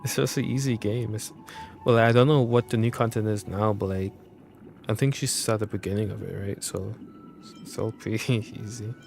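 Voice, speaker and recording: A man with a fairly low voice chats casually as a game streamer. He rambles in informal slang while game music plays behind him. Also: fairly even intonation, moderate pace, gravelly, a little mellow. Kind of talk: casual chat while gaming.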